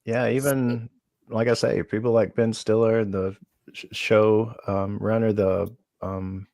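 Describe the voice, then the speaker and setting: A man on a live panel talks very slowly, with a gravelly, creaky voice. He pauses and searches for words as he goes. The sound is dry, with no room echo. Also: fairly low pitch, little pitch movement, darkish tone. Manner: slow, halting, searching for words. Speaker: panel guest. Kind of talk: casual live panel chat.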